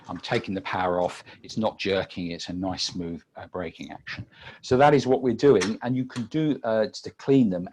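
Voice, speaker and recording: A British man giving an unscripted technical demonstration as a webinar presenter, halting often with repeated words and filled pauses. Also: on the low side, slight background noise. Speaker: webinar presenter and audio engineer.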